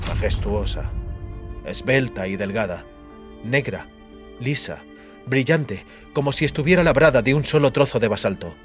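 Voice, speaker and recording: A man reading a fantasy audiobook in a measured, dramatic storytelling manner. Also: medium pitch. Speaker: audiobook narrator. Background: background music.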